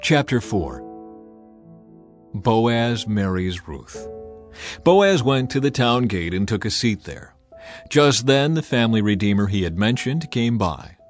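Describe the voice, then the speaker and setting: A man's voice: on the low side, sing-song, takes it slowly. A man narrating a dramatized Bible story from a script, as an audiobook narrator. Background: background music.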